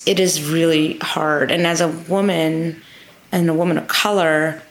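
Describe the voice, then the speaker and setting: A woman with a low, gravelly voice, giving interview answers as a lawmaker. She talks earnestly and with emphasis, piling up repeated phrases to drive each point home. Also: steady tone, a little slow, a little hiss or hum. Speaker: state legislator as guest.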